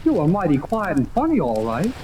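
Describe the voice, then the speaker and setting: An old, noisy radio serial recording features a brash young American hero. His voice is high and boyish, with slangy, stubborn delivery. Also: wildly swooping pitch, brisk, distant mic. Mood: bewildered. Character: plucky, defiant American boy radio operator. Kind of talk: 1930s radio serial acting.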